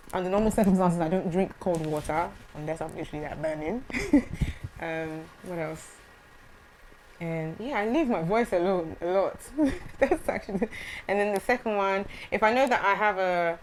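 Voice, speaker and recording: A young woman, a gospel singer, answering interview questions in a soft, hesitant way with many fillers. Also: lowish voice, slight background noise, poor audio.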